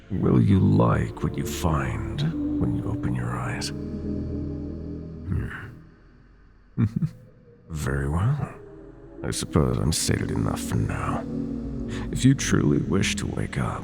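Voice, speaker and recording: Casting: predatory, seductive dark god for roleplay audio, extremely low and unhurried, teasing, music underneath. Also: male voice, huge pitch swings, gravelly, heavily acted. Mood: taunting. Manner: slow, theatrical, teasing drawl. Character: sinister seductive nightmare god, predatory, gloating, possessive male. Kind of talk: roleplay audio drama, ASMR.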